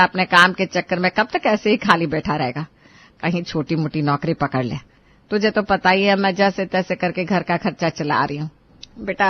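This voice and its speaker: A woman plays a sharp-tongued middle-aged mother in a storybook drama, scolding and mocking her lazy son in a fairly high voice. The recording sounds dull and lo-fi. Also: natural voice. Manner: scolding, sarcastic.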